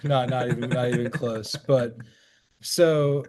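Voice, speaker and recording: A man co-hosting a sports podcast in casual, jokey banter, rambling along and halting as he goes. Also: medium pitch, steady tone, a little mellow. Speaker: podcast co-host.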